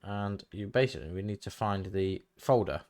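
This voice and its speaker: A man giving a casual, hesitant software walkthrough, thinking aloud as he goes. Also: lowish voice, moderate pace. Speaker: tutorial host. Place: indoors, voice-over for screen recording.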